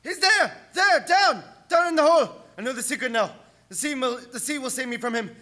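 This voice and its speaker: Bright, high-voiced, terror-crazed ship's mate. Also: male voice, expressive, fairly quick, exaggerated voice. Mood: hysterical terror. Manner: agitated, broken-English sailor talk. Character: superstitious ship's mate going mad with terror. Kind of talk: melodramatic radio play acting.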